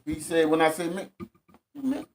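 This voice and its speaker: A man's voice: fairly high pitch, lively intonation, normal speed, slight background noise. A man co-hosting a podcast, talking loosely and jokingly with free swearing in casual debate. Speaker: podcast co-host.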